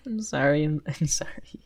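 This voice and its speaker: A woman's voice: low voice, darkish tone, husky, exaggerated voice. A woman playing a shy young male best friend in an ASMR roleplay, rambling hesitantly with stammers and nervous giggles. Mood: shy excitement.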